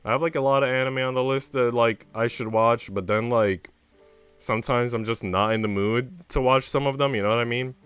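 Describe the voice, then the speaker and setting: A man's voice: medium pitch, steady tone, fairly quick, fairly bright tone, clean tone, faint music behind. A man livestreaming in a casual, rambling, informal chat style, swearing freely. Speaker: live streamer.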